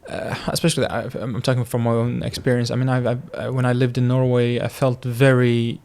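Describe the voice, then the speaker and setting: A man, a podcast guest, talking casually in a thoughtful, halting way and qualifying his points. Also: medium pitch, level tone, gravelly, a little mellow. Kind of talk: casual conversation.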